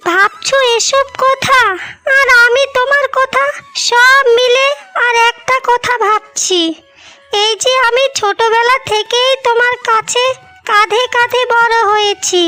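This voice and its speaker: A woman's voice: extremely high pitch, bright, forceful tone, full character voice. A woman voicing an affectionate, anxious younger sister in a cartoon fairy tale, earnest and pleading. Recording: music bed behind the voice.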